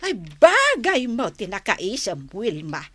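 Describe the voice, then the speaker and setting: A woman playing an older storekeeper who gives measured, admonishing peacemaking advice in a Philippine radio drama. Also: medium pitch, huge pitch swings, exaggerated voice. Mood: advising. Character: Nana Mila, calm older neighbourhood storekeeper giving peacemaking advice.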